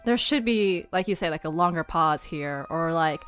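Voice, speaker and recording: A woman talks casually in a medium-pitched voice with very lively, swinging intonation. Music plays faintly behind her. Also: normal speed. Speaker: podcast creator, director, editor. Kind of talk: casual chat.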